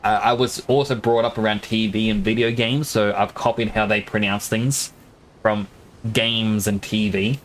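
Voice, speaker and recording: A man's voice: fairly low pitch, steady tone, normal speed, slight background noise, low-quality recording. A man from Australia with an Australian accent blended with some American, chatting casually and explaining things at length while streaming a game. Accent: Australian, mixed with American. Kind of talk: casual live-stream commentary.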